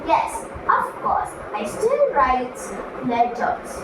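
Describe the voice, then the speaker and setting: Slow, echoey, earnest puppet guest voiced by a woman. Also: high-pitched, big pitch swings, exaggerated voice. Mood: reverent, earnest. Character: Lencho, earnest devout farmer, a puppet interview guest. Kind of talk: scripted puppet show dialogue. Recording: very echoey room, distant mic, noisy.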